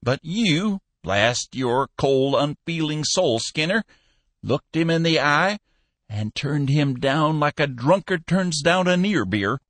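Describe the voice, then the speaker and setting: A man reading an audiobook, voicing a feisty, soft-hearted old businessman in a high, chirping, bossy voice. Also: animated, slow, no room sound, exaggerated voice. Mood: scolding, cajoling. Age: older.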